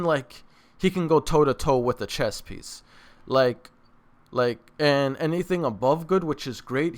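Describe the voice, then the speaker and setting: A man's voice: a little high, fairly bright tone. A man hosting a reaction channel, rambling casually to his viewers in informal slang and repeating himself for emphasis. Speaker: host of an anime reaction channel.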